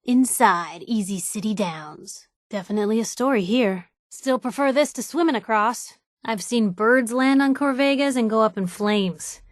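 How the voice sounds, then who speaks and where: A young woman with an American accent playing a plucky, wisecracking reporter companion in a video game, with a wry, expressive delivery. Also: a little high, moderate pace, hardly any pauses, dry recording, studio quality, close to an everyday voice. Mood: sarcastic. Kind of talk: video game voice acting, in-character banter.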